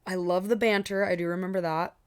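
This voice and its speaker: A woman's voice: lowish voice, fairly even intonation, brisk, smooth. A young woman streaming a read-along, chatting casually with lots of fillers and asides, her voice tired and a little scratchy. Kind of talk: casual chat to a live audience.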